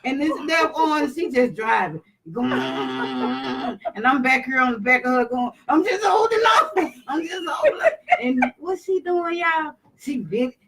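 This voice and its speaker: A woman telling a travel story in casual chat, animated and dramatic, jumping to high exclamations. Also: rough recording. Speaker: vlogger.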